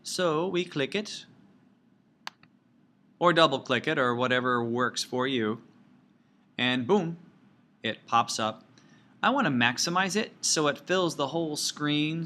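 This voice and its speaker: Bright-voiced man teaching a tutorial. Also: mid-range voice, lively intonation, measured pace. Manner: folksy, playful asides and sound words. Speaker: teacher giving a software tutorial. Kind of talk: informal step-by-step screencast instruction.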